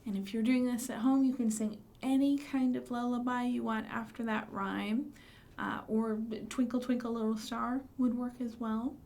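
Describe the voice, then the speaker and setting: A woman's voice: on the high side, fairly even intonation, moderate pace, creaky, a little mellow. A woman hosting a children's storytime, explaining books and rhymes simply for young listeners. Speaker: children's librarian, storytime host.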